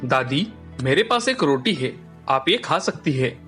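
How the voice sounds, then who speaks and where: A man playing a calm, brave young fairy-tale hero in children's story dialogue, speaking earnestly. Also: fairly high pitch, measured pace. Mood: caring. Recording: long hall reverb, music underneath.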